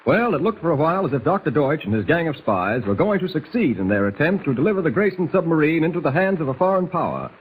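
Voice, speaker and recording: Animated male 1940s radio serial narrator. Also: on the high side, quick pace, few pauses. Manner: suspenseful, theatrical. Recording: muffled, slightly noisy 1940s radio recording.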